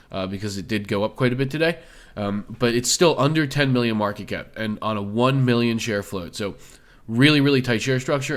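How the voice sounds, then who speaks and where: A man with a slightly hoarse voice from being sick, hosting a stock video in a loose, conversational way with many fillers. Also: medium pitch. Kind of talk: casual screen-share commentary.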